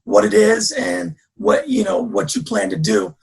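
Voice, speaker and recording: Gravelly, high-pitched enthusiastic male host. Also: a little slow, a little mellow. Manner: enthusiastic, encouraging affirmations. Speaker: podcast host, interviewer. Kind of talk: upbeat interview hosting.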